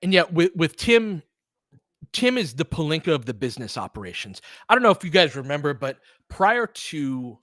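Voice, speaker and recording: A man's voice: a little high, very animated, normal speed, fairly bright tone, no room sound, clean studio sound. A man hosting casual game commentary, chatting candidly and rambling through stories and asides. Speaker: host doing audio play-by-play.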